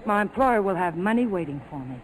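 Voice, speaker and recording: A woman plays an uneasy young secretary in an old radio play. Her medium-pitched voice is polite, hesitant and evasive, with a touch of broadcast noise. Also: lively intonation, fast, natural voice. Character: nervous, evasive young secretary hiding something. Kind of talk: 1940s radio acting. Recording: noisy 1940s radio broadcast.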